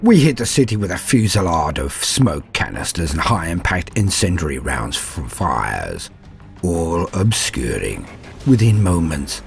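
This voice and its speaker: A man voicing an ancient, proud and cruel warrior who narrates battle in a grandiose, measured, menacing way. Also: low-pitched, gravelly, exaggerated voice. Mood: grim, cold. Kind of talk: dramatic first-person war storytelling.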